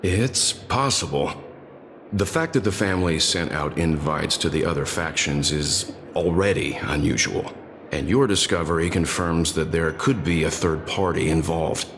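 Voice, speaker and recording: A man's voice: a bass voice, moderate pace, slight background noise. An actor voicing a reserved, perceptive male space traveler in a video game, with a dry, musing delivery. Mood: dry, intrigued. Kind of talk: English video game voice acting. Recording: loud music behind the voice.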